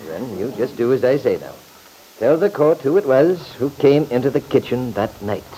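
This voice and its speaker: Guy doing a pushy old radio drama lawyer, mellow but animated, repeats himself, bit of background noise. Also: mid-range voice, normal speed, close to an everyday voice. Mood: coaxing, insistent. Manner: pressing, formal, repeats himself with stammered lead-ins. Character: insistent, coaxing male courtroom lawyer questioning a witness. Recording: noisy vintage radio recording.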